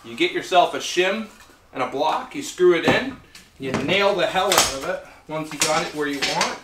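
A man's voice: on the high side, lively intonation, normal speed, very noisy. A man casually narrating his own home renovation, folksy and chatty, talking straight to his viewers while he works in a farmhouse under renovation. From Maine. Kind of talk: casual DIY vlog narration. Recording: roomy echo of a bare room.

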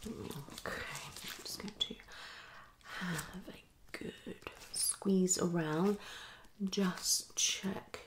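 A woman in her thirties doing an ASMR roleplay as a dermatologist, slipping between whispers and soft speech with reassuring clinical patter. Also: fairly low pitch, fairly even intonation, extremely slow. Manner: hasty, reassuring clinical patter addressed to a patient. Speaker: ASMR performer playing a dermatologist. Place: small living room at home, indoors.

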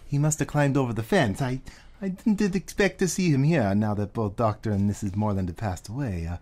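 A man's voice: medium pitch, brisk, a little mellow, close to an everyday voice. An actor playing a nervous man posing as a father, hesitant and stammering, in a radio drama. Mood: flustered. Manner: hesitant, stammering with many fillers.

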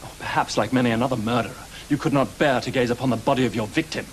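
A man's voice: mid-range voice, racing, a little hiss or hum, close to an everyday voice, low-quality recording. A man playing an imperious, accusing Victorian detective. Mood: cold, accusing. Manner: theatrical, prosecutorial, biting. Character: accusing, wounded, imperious fictional detective confronting his author. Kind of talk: radio drama acting.

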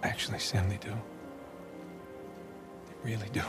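An American man voicing a weary, sensible adventurer in a video game cutscene, with a dry, casual, halting delivery. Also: lowish voice, fairly even intonation, lightly acted. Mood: gently firm. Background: music.

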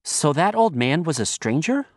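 A man reading a story and voicing a curious, chatty little girl in high, excited calls. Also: normal speed, no room sound, clean studio sound.

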